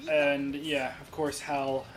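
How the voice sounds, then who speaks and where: A man's voice: medium pitch, completely flat delivery, slow. Rambling male game commentator. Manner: rambling, full of restarts and fillers. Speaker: let's play commentator. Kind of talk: casual gameplay commentary. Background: game music.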